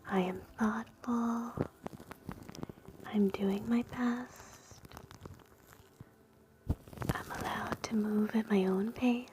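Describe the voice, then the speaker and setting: A woman whispering slow, gentle affirmations for ASMR at home, close to a sensitive mic. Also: fairly high pitch, steady tone, noisy background. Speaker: ASMR host. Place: home, indoors.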